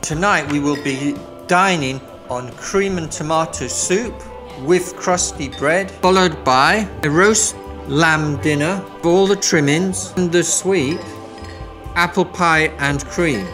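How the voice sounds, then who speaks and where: Man playing a slow, polite hotel manager. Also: a little high. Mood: courteous. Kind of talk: amateur radio play acting. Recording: music playing loudly over the voice.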